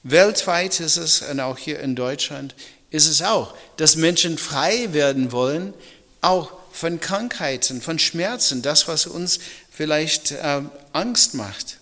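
Bright-voiced preacher in a reverberant hall. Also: male voice, a little high, expressive, slow. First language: English. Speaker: pastor. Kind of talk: sermon in German. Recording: long reverb of a large hall.

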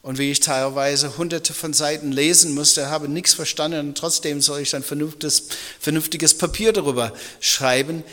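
A middle-aged man, a native English speaker, preaching as a pastor in a halting, reflective, personal manner. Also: fairly high pitch, fairly even intonation, sharp tone. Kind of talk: sermon in German. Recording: long reverb of a large hall.

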